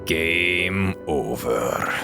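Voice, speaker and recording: An actor in an audio drama playing an unflappable game referee who reads out rules in a solemn, deliberate way. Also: low-pitched, animated, gravelly, over loud music, heavily acted. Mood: solemn, unhurried. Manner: slow, deliberate, unhurried.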